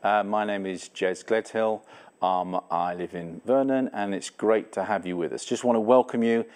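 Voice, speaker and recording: A man's voice: fairly low pitch, moderate pace, darkish tone. A man with an English accent hosting a church talk, welcoming people in a loose way with lots of fillers. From England. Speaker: church host.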